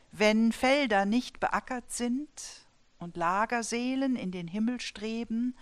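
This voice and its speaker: Slow, deliberate woman in her fifties. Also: mid-range voice. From Saar-Palatinate border region of Germany. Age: 50s. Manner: measured, deliberate recitation. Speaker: poet and dramaturge. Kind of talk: author presenting and reading her own poetry.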